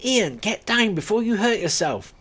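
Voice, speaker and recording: A dry, sardonic woman, put on by a male reader in a voice pitched well up. Her lines rise and fall in lively swoops. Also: normal speed, breathy edge, full character voice. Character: sharp-tongued adult woman, Kate.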